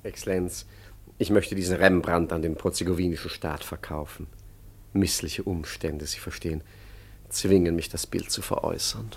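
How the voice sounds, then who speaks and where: An actor playing a cheeky, suave art forger, narrating and acting in a German radio play with theatrical, ironic eloquence. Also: male voice, low voice, fairly even intonation, moderate pace, vocal fry, husky, full character voice. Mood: smooth, sly.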